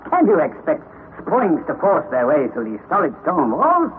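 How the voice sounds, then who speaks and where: An actor playing a commanding professor uncle leading an expedition, in a 1930s radio drama, on a tinny old recording. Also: male voice, a little high, wildly swooping pitch, moderate pace, a little hiss or hum. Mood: reassuring. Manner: commanding, gives orders.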